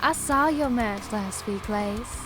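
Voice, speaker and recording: A woman's voice: a little high, slow, over music. A woman playing a proud, spirited fighter in a visual novel, with a sarcastic, challenging manner. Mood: mocking. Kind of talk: animated visual novel acting.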